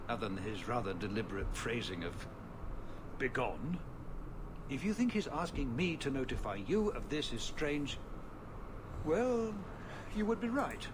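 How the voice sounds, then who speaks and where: A weary, troubled city ruler from a fantasy game. He speaks in formal, measured phrases at a middle pitch, exasperated by his burdens. Clear noise runs behind the voice. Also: big pitch swings. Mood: wry, reflective. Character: weary, exasperated city viscount, proud but troubled father. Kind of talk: dramatic video game dialogue.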